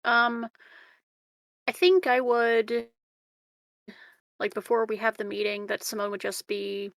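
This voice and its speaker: A woman's voice: on the high side, steady tone, takes it slowly, creaky, a bit bright, no echo. A woman playing a tabletop game, chatting casually in a tentative, hesitant way.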